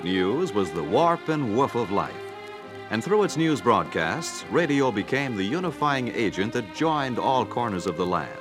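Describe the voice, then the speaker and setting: A man's voice: medium pitch, very animated, unhurried. A man delivering scripted documentary narration. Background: background music.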